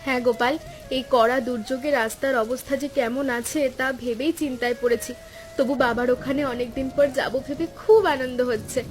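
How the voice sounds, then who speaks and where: A woman voicing a caring young village wife in a cartoon story, speaking in an earnest, deliberate way. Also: high-pitched, steady tone, faint music behind, natural voice. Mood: eager. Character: caring young village wife and daughter, anxious but cheerful. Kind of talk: Bengali cartoon story dialogue.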